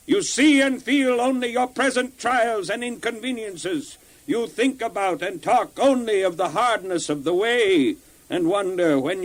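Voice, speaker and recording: A solemn prophet in a dramatized Bible story. His voice is high for a man and he proclaims slowly, weighing each phrase. The temper is stern and grave, like an address to a whole nation. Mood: stern, rebuking. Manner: declamatory, solemn, deliberate.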